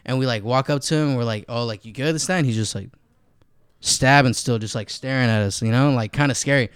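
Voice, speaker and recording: A man's voice: medium pitch, fairly quick, clean tone, dry recording, clean studio sound. A man in casual podcast chat, rambling through stories with lots of "like" between words. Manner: rambling storyteller, heavy "like" filler. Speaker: podcast co-host or guest.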